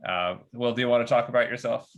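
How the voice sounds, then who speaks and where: A man hosting an online user group meeting, halting and filler-heavy as he introduces a guest. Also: mid-range voice, highs cut off. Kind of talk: meeting host chat. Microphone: video call.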